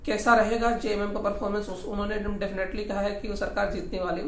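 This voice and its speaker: Guy with doing news commentary, pretty monotone, rambles, echoey room. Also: high voice. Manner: rambling, repetitive explaining. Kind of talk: informal political news commentary. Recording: roomy echo, faint background noise.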